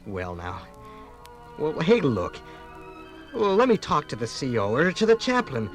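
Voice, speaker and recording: An actor playing an awkward, lovestruck young American soldier in a 1950s radio drama, halting and stammering earnestly. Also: male voice, a little high, huge pitch swings, soft background music. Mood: wistful, then eager, hopeful. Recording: 1950s radio production from vinyl record.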